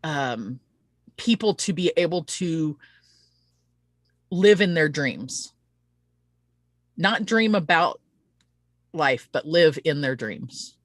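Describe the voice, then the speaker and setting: A woman's voice: low voice, fairly bright tone, no room sound, clean studio sound. A woman hosting a casual promotional live-stream interview, speaking in an earnest, chatty and rambling way. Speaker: host of an online interview show.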